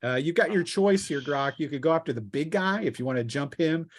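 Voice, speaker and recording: A man's voice: a little high, lively intonation, a little fast, no echo. A man running a tabletop roleplaying game as game master, narrating combat casually with vivid scene-setting.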